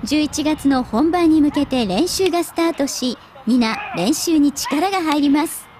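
A middle-aged woman presenting scripted news in a polite, clearly articulated way. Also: high voice, a little slow, heavy background noise. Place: TV studio.

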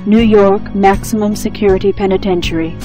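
A woman narrating an audio drama in a grave, measured, scripted style with deliberate phrasing. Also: mid-range voice, steady tone, over music.

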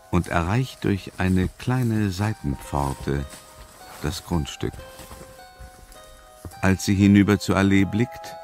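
A man narrating a scripted audio drama in a measured, suspenseful manner. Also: low voice, a little mellow. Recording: music under the voice.

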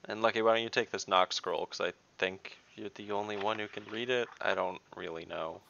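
Player, young man, low gravelly voice, brisk and decisive, muffled call audio. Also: fairly even intonation, sharp tone, slight background noise. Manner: brisk, takes charge of the party. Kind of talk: casual in-character table talk. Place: home room. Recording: slightly dull video-call audio.

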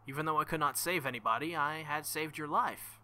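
A man reading aloud as a stern, earnest young woman knight-king, in formal, measured speech without contractions. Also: fairly high pitch, sharp tone. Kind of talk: reading visual novel dialogue aloud.

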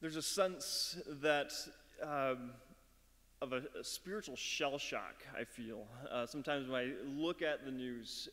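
Middle-aged male chapel leader, earnest and halting, a bit high, in a reverberant auditorium. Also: a bit bright. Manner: measured, earnest, halting with fillers. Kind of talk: chapel talk and spoken prayer. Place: dark auditorium stage at a lectern. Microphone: mic on the lectern. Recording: long hall reverb.

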